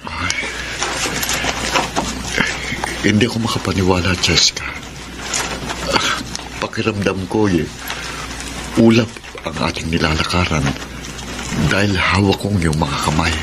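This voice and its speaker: A man's voice: low-pitched, moderate pace, pauses often, very noisy, close to an everyday voice. A man acting a hopeful, lovestruck young suitor in a Filipino radio drama, sentimental and pleading, with florid earnest delivery. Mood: dreamy, devoted. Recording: radio drama with music underneath.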